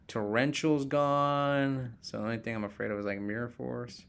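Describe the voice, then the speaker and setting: A man's voice: mid-range voice, little pitch movement, normal speed, vocal fry, a bit bright, clean tone. A man casually commentating a card game he is playing live, thinking aloud and chatting with viewers as he goes.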